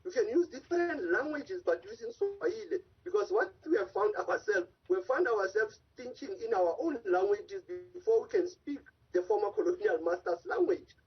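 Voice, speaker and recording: A man's voice: very high-pitched, sing-song, moderate pace, few pauses, slightly rough. A man, an Africa analyst and advocate, making impassioned, long-winded points as a guest in a remote news interview over a video call. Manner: impassioned, argumentative, speaks in long run-on points. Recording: dull lo-fi call audio.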